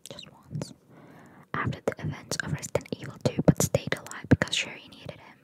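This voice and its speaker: A woman whispering ASMR-style as she reads out trivia and adds informal, musing asides. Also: fairly quick. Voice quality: soft, unvoiced whisper. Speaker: ASMR content creator. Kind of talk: whispered ASMR reading of trivia with casual commentary.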